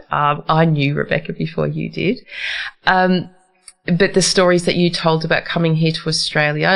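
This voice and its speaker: A woman hosting a radio interview from a community radio studio, asking conversational, gently probing questions. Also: low-pitched, gravelly. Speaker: radio host. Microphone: studio microphone.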